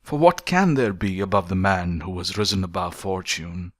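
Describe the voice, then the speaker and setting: A man reading a philosophical essay as an audiobook narrator, in measured, deliberate phrases with emphatic stresses. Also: deep voice, dry recording, clean studio sound.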